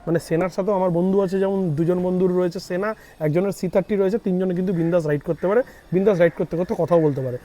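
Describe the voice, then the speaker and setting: Fast-talking man with a mellow, higher-pitched voice. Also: steady tone. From India. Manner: explanatory, addresses viewers directly, mixes in English words. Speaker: vlogger, host. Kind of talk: casual product review vlog.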